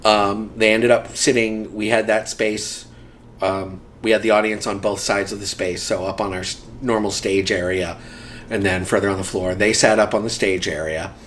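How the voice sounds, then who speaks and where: A man's voice: fairly low pitch, fairly even intonation, normal speed, a bit bright, slight background noise. A man in a casual interview, reminiscing about community theater, rambling with frequent ums and dry humor.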